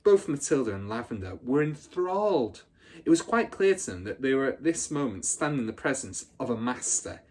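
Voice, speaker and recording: A man reads a children's story aloud in a mid-pitched voice. His delivery is expressive and very animated, like a teacher at storytime. The tone has a husky edge. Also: brisk.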